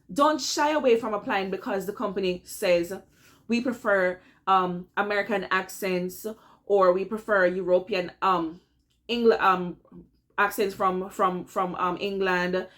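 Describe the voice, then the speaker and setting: A young woman with a Jamaican accent talks straight to her viewers in a relaxed advice vlog. She keeps it chatty and personal, often checking in with them as she goes. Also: medium pitch. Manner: chatty, addresses viewers as "guys", repeats "all right?". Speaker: vlogger giving career tips. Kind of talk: casual advice vlog to camera.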